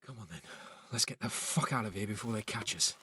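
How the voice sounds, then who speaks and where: A man playing a rough, wary medieval companion in a game, with a raspy, gravelly voice. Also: on the low side, fairly quick, pushed and bright, heavily acted. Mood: tense, urgent. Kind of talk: dramatic game cutscene acting.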